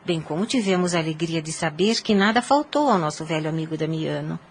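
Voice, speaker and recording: Woman acting a lowish-voiced, courteous, frail mother. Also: expressive, a little fast. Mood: reassuring. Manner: polite, formal. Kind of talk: audio drama acting. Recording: background ambient noise.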